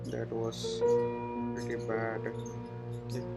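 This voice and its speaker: A man giving an informal programming walkthrough, thinking aloud in a hesitant, halting way as he works. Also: mid-range voice, completely flat delivery, vocal fry. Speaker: coding tutorial presenter. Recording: loud music playing behind voice.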